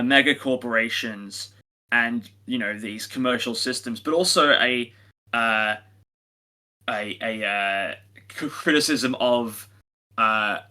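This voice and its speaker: A man's voice: mid-range voice, pushed and bright, rough recording. A man co-hosting a review podcast in casual, opinionated discussion, emphatic, sarcastic and prone to swearing. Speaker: podcast co-host reviewing audio dramas.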